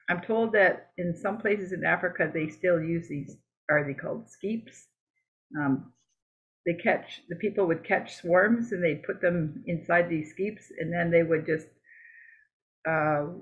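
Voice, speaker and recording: A woman from Canada giving a slide presentation on beekeeping over a video call, talking in a halting, conversational way with many fillers and restarts. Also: low-pitched. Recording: narrow tinny video-call audio.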